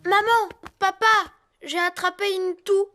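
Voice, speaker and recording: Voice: extremely high pitch, fairly even intonation, measured pace. A performer voicing a young animal child in a children's cartoon dub, with a childlike, plaintive delivery. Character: squeaky young animal child, complaining and polite. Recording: loud music behind.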